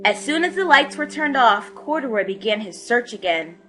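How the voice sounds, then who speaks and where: A woman reading a children's story aloud in an expressive narrating style. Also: fairly high pitch, moderate pace, music in the background. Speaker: storybook reader.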